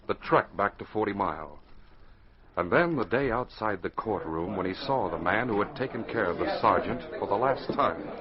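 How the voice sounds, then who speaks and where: A man's voice: on the low side, animated, moderate pace, a crowd in the background. A man narrating a 1940s radio serial from a script as a dramatic storyteller. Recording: muffled old radio recording, faint hiss.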